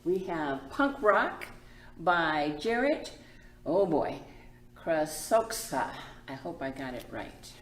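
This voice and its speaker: A woman's voice: low-pitched, big pitch swings, takes it slowly. A woman hosting a children's storytime as a librarian, presenting and reading aloud in simple, demonstrative phrases for small children. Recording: echoey room.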